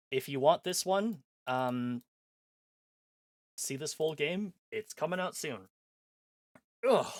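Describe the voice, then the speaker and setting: A man streaming a visual novel, reading its text aloud and chatting between scenes in an animated, self-mocking, jokey way. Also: on the high side, clean tone, no room sound, studio quality. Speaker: game streamer. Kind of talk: live-stream chat, reading a game aloud.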